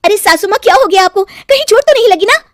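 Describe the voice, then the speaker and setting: A woman's voice: very high voice, expressive, quick pace, no echo, clean studio sound, voice pushed up. A woman voicing a kind, innocent young village woman, earnest and concerned, in a cartoon story. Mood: worried.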